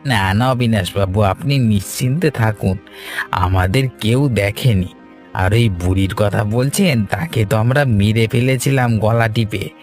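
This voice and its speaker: A man's voice: fairly low pitch, measured pace, a little mellow, faint music behind, lightly acted. A man playing a scheming, insinuating henchman to a crooked village boss in a cartoon. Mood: reassuring. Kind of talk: cartoon voice acting.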